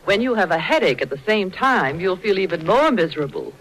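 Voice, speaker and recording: Male co-announcer, 1940s radio commercial: high-pitched, animated scripted selling. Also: a little fast, poor audio.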